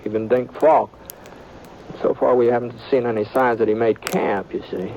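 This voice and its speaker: A man voices a drawling frontier gunman who stumbles through his words in a friendly, unhurried way. He has an American voice at an ordinary pitch. The old radio recording is muffled and full of hiss. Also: fairly even intonation, lightly acted. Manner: halting, folksy drawl with many hesitations. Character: drawling easygoing male frontier gunman narrator. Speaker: lead actor and narrator. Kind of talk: 1950s radio western acting. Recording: noisy dull old radio broadcast.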